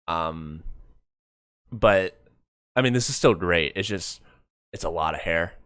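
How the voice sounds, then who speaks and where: A man giving casual, halting live commentary as a streamer reviewing a comic, often repeating words mid-thought. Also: low voice, a bit bright, smooth, no echo, studio quality.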